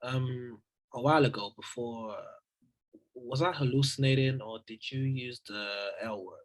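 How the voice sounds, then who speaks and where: A man acting a laconic young guy with a mid-range voice. He is modest and affectionate, speaking plainly and softly understated, as in a script read-through. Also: mid-range voice, a little slow, close to an everyday voice, low-quality recording. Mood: hesitant, loving.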